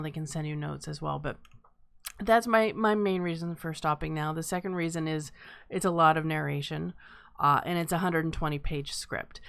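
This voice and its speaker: A woman hosting a live script table read in a casual, conversational style. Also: on the low side.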